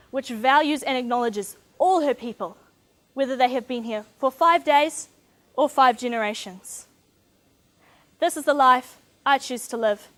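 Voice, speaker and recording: A teenage woman from New Zealand with a New Zealand accent, giving an earnest, measured competition speech. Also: high-pitched. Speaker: speech contestant.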